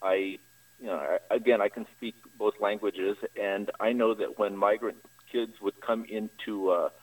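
Halting American man calling in, distant sound. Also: fairly low pitch, steady tone. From Greeley, Colorado. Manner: halting, pauses often with fillers. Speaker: radio show caller. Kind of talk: personal account in a live call. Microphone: phone call to radio show. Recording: distant-sounding radio call-in line.

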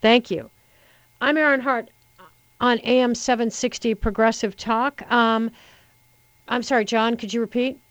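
A woman hosting a call-in radio talk show, chatty and opinionated, talking briskly to callers. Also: a little high, fairly even intonation, no echo, poor audio. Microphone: studio microphone.

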